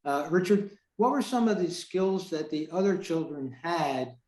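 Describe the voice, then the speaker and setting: Higher-voiced man interviewing, echoey room. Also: expressive, measured pace, darkish tone. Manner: rambling, reworking the question as he goes. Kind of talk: casual unscripted interviewing. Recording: echoey video call audio.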